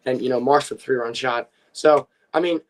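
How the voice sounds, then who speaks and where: A young man co-hosting a casual sports podcast, his voice a little worn from playing a game, recapping with halting restarts. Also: medium pitch. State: slightly lost voice after a game. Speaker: podcast co-host.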